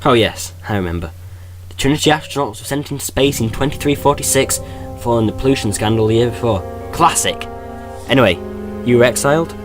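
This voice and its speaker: A man's voice: medium pitch, expressive, fast talker, music in the background, natural voice. A man playing a time-travelling alien adventurer in a fan audio drama, quippy and defiant. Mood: flippant, curious.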